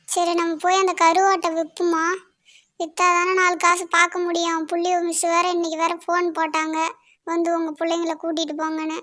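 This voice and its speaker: Someone doing a super high squeaky village mom for a cartoon, plaintive n grumbly, kinda flat. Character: hard-up village mother in animated bird story, fretting, grumbling. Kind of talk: cartoon dialogue in colloquial rural speech.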